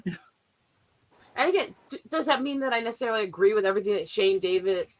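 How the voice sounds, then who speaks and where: A woman's voice: medium pitch, expressive, brisk. A woman co-hosting a casual livestream chat, blunt and profane, talking over a remote streaming mic. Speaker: stream co-host. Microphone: streaming mic, remote call.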